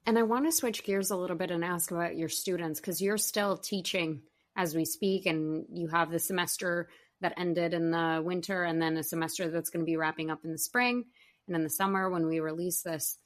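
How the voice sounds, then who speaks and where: A young adult woman hosting a podcast interview, asking articulate, measured questions. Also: lowish voice, fairly even intonation. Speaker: podcast host.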